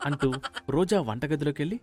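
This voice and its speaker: A man's voice: a little high, lively intonation, fairly quick, loud music behind the voice. A man narrating a scripted moral story as its storyteller.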